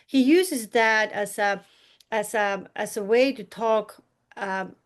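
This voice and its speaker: A woman with a Finnish accent talks through ideas carefully, as a scholar and teacher would. Her pitch sits in the middle of the range, and she pauses and restarts her sentences often. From Finland. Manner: thoughtful, halting, frequent restarts, light laughs. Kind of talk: academic podcast discussion.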